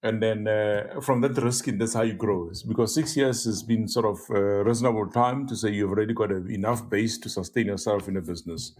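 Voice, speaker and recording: Man, lowish voice, panellist, rambling, halting, fillers. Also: fairly even intonation, darkish tone. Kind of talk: asking a long interview question.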